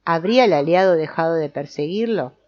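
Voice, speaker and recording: A woman reads a book aloud as scripted narration, in a measured, didactic way. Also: on the low side, expressive, darkish tone, dull audio. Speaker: audiobook narrator.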